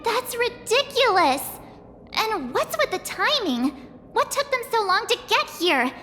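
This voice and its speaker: A loyal, spirited teenage girl adventurer in a video game. Her voice is extremely high and she talks in an informal, outspoken way. It sits in long reverb over clear background music. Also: big pitch swings, a little slow, bright, forceful tone, heavily acted. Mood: indignant. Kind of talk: video game cutscene voice acting.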